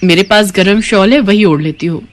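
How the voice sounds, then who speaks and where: A woman's voice: mid-range voice, fairly quick, soft background music, lightly acted. A woman voicing a kind young bride-to-be in a storybook tale, polite and earnest. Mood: caring.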